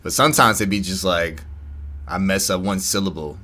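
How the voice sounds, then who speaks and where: A man's voice: low-pitched, moderate pace, pushed and bright. A man in his twenties, co-hosting a casual podcast chat, joking about himself and laughing often. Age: 20s. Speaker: podcast co-host.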